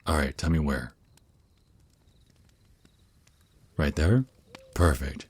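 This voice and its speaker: A man playing a centuries-old vampire naga boyfriend, a tender mentor speaking slowly and soothingly in an intimate ASMR roleplay, with a very deep, husky voice. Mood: tender, encouraging. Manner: slow, soothing, teasing endearments.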